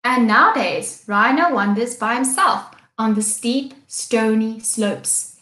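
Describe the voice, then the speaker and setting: A young woman reading a picture book aloud with deliberate, careful phrasing for small children. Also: a little high, fairly bright tone. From South Africa. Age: young adult. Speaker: children's story reader, teacher. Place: small indoor classroom-style room. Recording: echoey room.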